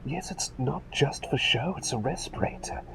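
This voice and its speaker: A man performing a whispered ASMR roleplay as a gentle, eccentric plague doctor, with courteous old-fashioned phrasing and a dithering, repetitive way of talking. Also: medium pitch, expressive, moderate pace, gravelly, noisy background. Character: gentle, eccentric, absent-minded male plague doctor, polite and chatty.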